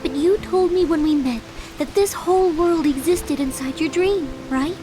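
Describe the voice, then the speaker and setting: A woman voicing a gentle, sincere young girl in a game cutscene who speaks softly and thoughtfully. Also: high voice, normal speed, lightly acted. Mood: tender. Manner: soft, sincere, reflective. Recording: game audio with clear music behind.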